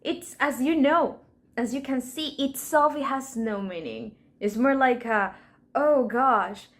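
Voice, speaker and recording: A woman with a Colombian accent leads a relaxed Spanish lesson, her first language, explaining everyday expressions in English at an unhurried pace. Her voice sits a little high, and she playfully acts out short example scenes for her viewers. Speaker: Spanish teacher. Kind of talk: casual language lesson to camera.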